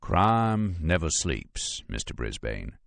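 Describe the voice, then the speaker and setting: An audiobook character performed by a male narrator: a courteous male federal agent with a deep, creamy drawl. He is formal, unhurried and drily witty, sure of himself in every phrase. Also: sing-song, vocal fry, no room sound, heavily acted. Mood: dry, unhurried.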